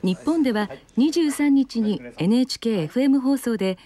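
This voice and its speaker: A woman's voice: on the high side, animated, fast, a crowd in the background. A woman reading scripted narration for a television news report.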